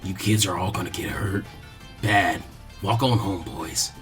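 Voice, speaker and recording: A man voicing a gruff, defiant hired thug with a low, sneering mumble in a story reading. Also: measured pace, fairly bright tone, soft background music. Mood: surly defiance.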